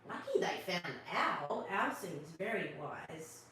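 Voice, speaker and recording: A woman reading a picture book aloud to children as host, with expressive narration and asides to young listeners. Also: fairly low pitch, slow. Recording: distant, very echoey room, faint noise.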